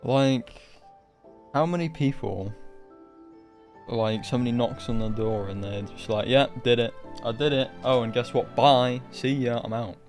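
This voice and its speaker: A man's voice: medium pitch, measured pace, faint music behind. A man giving casual live reaction commentary as a game streamer, blunt and sarcastic.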